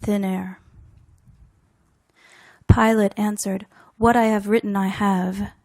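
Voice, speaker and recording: A woman reading her own poetry aloud in a deliberate, measured way, in fragmented phrases with weighted pauses. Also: medium pitch, level tone, darkish tone, dry recording, studio quality. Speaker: poet reading own work.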